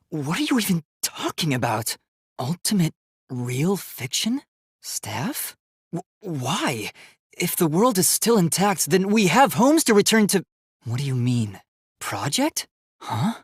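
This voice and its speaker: K1-B0, earnest husky-voiced young robot. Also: male voice, a little high, no echo, studio quality, exaggerated voice. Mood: bewildered, shaken. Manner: earnest, formal, emphatic delivery. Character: earnest, determined young male robot, idealistic and emotional. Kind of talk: dramatic video game voice acting.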